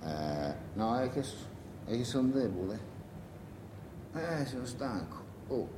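Weary, rambling partisan in an echoey room. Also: male voice, mid-range voice, very animated, takes it slowly. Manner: rambling, muttering, self-interrupting, exclaiming. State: tired. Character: weary, hungry male wartime partisan talking to himself. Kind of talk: theatrical radio monologue. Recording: roomy echo with faint noise.

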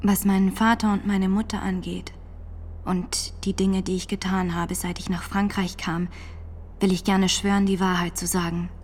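A woman's voice: medium pitch, flat delivery, moderate pace, close to an everyday voice. An actress in a radio drama playing a devout, defiant nineteen-year-old peasant woman on trial, steadfast and plain-spoken in manner. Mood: steadfast, earnest.

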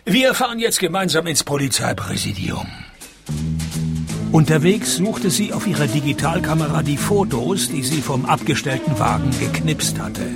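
A man playing a wry, world-weary private detective narrating his cases in a radio play, dry and deadpan. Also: fairly high pitch, normal speed, music in the background. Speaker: narrator and lead character. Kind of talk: German-language radio play, scripted narration.

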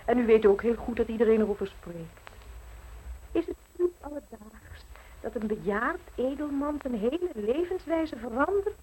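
An actress playing an ambitious, worldly widow in a radio play, coolly flirtatious, arch and knowing. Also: female voice, on the high side, expressive, moderate pace, warm tone, a little hiss or hum, natural voice, low-quality recording.

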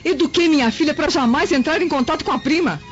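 An actress playing a haughty, spiteful Roman mother in a radio drama, shrill, indignant and dramatic. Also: fast, close to an everyday voice. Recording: muffled lo-fi, music underneath.

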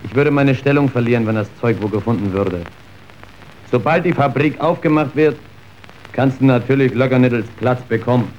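A man's voice: medium pitch, moderate pace, lo-fi sound, slight background noise, lightly acted. An actor playing an older, callous factory foreman, precise and secretive, in a radio drama. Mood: businesslike, secretive.

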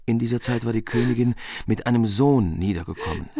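A man's voice: medium pitch, lively intonation, a little fast, crowd noise. A man narrating a literary radio play from a script.

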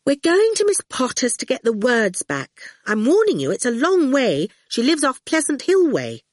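A woman's voice: sits high, huge pitch swings, normal speed, dry recording, studio quality, voice pushed up, full character voice. A woman voicing a stern, sensible, no-nonsense young woman in a storybook. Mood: wary warning. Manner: firm, no-nonsense.

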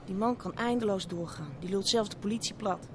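A woman's voice: medium pitch, brisk, noisy background, lightly acted. An actress playing a defiant, unbothered young woman in a radio drama, talking bluntly and offhandedly. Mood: offhand, defiant.

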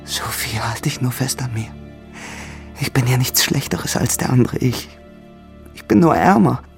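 An actor playing a poor, jealous and possessive farmhand in a German radio drama, with a brooding, insistent and menacing manner and a gravelly, husky voice. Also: male voice, medium pitch, unhurried, faint music behind. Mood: bitter, pleading.

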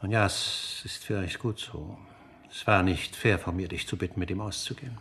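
An actor playing a courteous, shrewd older father in a radio drama, speaking in a genteel, deliberate way. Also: deep voice, creaky, fairly bright tone. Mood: shrewd, genial.

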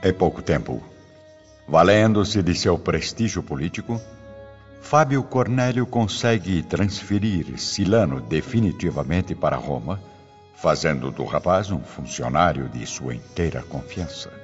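A man's voice: sits low, expressive, a little slow. A man reading scripted dramatic narration for a radio drama. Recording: reverberant, dull, music behind.

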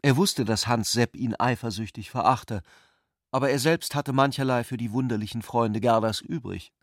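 Guy reading literary radio drama narration, very dry close sound, measured and articulate. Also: mid-range voice, dry recording.

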